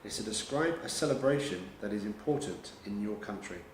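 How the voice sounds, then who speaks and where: A man acting as examiner in a mock speaking test, asking questions calmly and reading out instructions in a measured way. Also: lowish voice. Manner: calm, reassuring, measured questioning. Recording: roomy echo with background noise.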